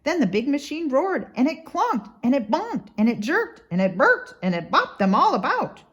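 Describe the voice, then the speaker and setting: Very animated woman reading a storybook. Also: high-pitched, moderate pace, muffled recording. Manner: rhythmic, dramatic read-aloud. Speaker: doctor reading a children's book. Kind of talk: expressive storybook narration.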